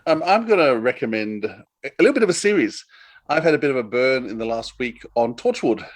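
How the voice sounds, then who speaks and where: A man's voice: medium pitch, expressive, a little fast. Man chatting as a podcast co-host. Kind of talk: casual chat recommending audio dramas.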